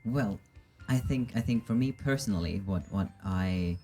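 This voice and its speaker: Male guest, a deep, mellow voice, and a self-deprecating manner. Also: moderate pace, soft background music. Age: 20s. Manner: self-deprecating, with frequent restarts. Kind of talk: casual panel chat.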